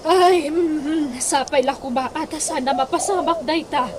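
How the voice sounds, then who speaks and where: A woman's voice: sits high, unhurried. A woman playing a disapproving older mother in a radio comedy-drama, preachy and reproving, with an Ilocano accent. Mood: worried. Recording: clearly noisy audio.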